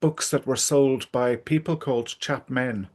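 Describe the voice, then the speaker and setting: Middle-aged man, medium pitch, casual reflective guest, halting and thoughtful phrasing. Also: fairly even intonation. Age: middle-aged or older. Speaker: interview guest, writer. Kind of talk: casual reflective interview talk.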